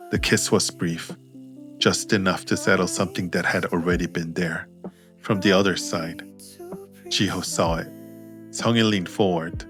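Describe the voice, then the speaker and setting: A man narrating scripted fiction as an audiobook, in a measured, understated manner. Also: low-pitched, a bit bright. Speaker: author and narrator.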